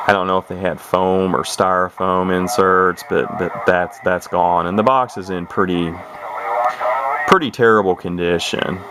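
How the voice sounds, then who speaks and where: A man with a very low voice talks through an old CB radio in an unhurried, rambling way, full of fillers and restarts. The home video is noisy, with radio hiss behind him. It sounds like a camera's built-in mic in a room at home. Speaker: CB radio collector showing a radio. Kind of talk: casual show-and-tell video.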